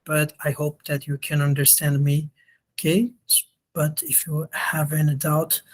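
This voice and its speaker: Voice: mid-range voice, flat delivery, a little slow. A slightly sick lecturer who is not a native English speaker, explaining hesitantly on a video call and often checking understanding. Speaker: university lecturer.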